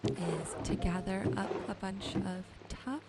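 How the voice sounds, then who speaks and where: A woman gently narrating her gameplay as a sleep ASMR host, soothing and unhurried. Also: mid-range voice, fairly even intonation, heavy background noise. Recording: music behind the voice. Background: soft music, game rain and animal sounds.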